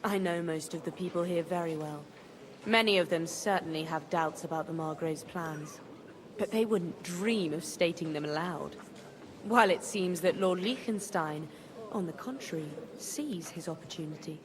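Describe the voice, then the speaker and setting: Actress as shrewd widowed noblewoman for a medieval game: lowish voice, composed, dry, wry, faint noise behind. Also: normal speed, lightly acted. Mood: coolly probing. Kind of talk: video game dialogue acting.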